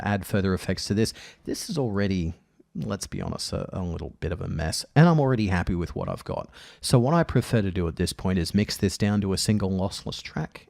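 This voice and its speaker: A man narrating a casual software tutorial as its presenter, thinking aloud in a halting way. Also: lowish voice, gravelly. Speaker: audio editing tutorial presenter.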